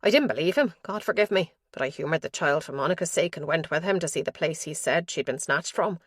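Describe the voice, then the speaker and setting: A woman narrator gives voice to an elderly father confiding in his diary, his anxious, guilt-ridden words coming out low and plain. The recording is dry and close, with no room around it. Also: animated, fast, slightly rough, no room sound.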